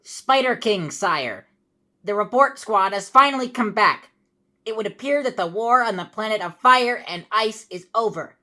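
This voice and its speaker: A woman's voice: medium pitch, expressive, moderate pace, bright, forceful tone. A woman voicing a dutiful royal messenger in a cartoon, formal and deferential. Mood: grave.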